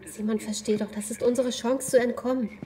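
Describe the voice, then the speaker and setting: A woman's voice: on the high side, fairly even intonation, brisk, dark tone, natural voice. A woman playing a determined, pragmatic captive in a German audio drama. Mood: urgent.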